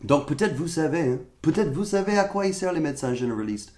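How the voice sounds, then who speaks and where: A man's voice: fairly high pitch, very animated, quick pace, a little mellow. A young American man with an American accent, speaking French as his second language, ranting sarcastically to camera while sick with a cough. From United States. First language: English. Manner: exasperated, sarcastic complaining. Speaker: vlogger. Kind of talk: comedic rant to camera. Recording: echoey room.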